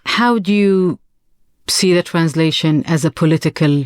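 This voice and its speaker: An interviewer asking calm, plain questions. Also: medium pitch, moderate pace, no room sound, clean studio sound.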